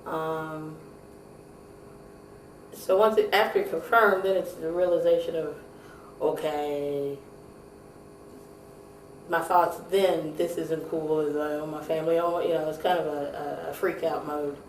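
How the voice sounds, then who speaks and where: A woman in her late thirties speaks in a somewhat low voice, stumbling and restarting her phrases. She sounds distant from the microphone in an echoey room. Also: steady tone. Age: 30s. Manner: halting, self-correcting. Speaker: interviewee. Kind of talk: casual interview answers. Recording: roomy, distant mic, faint noise.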